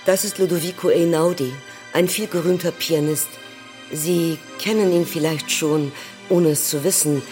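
A man's voice: a little high, steady tone, moderate pace, darkish tone, lightly acted. Informative male commentator played by an actor, radio drama, polite explanatory delivery, faint music underneath. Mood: politely informative.

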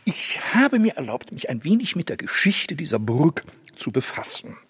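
A self-important, bumbling husband in a children's audio play. His voice is husky and leaps wildly up and down. He is fussy, pompous and stammers. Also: on the high side, big pitch swings, moderate pace, heavily acted. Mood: eager. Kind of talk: children's radio play acting.

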